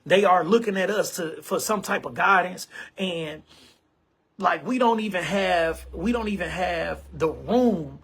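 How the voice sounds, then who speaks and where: A man's voice: high voice, unhurried, a bit bright, slightly rough. A man in his forties hosting an online show, talking casually to camera in an emphatic, repetitive way and often calling listeners bro and man. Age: 40s.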